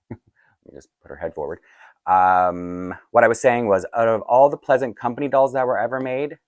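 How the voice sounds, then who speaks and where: Male unboxing vlogger, deep groggy voice, informal rambling delivery with frequent self-interruptions. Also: dry recording. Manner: chatty, self-interrupting asides, chuckling. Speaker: doll collector and unboxing vlogger. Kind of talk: casual rambling unboxing chat.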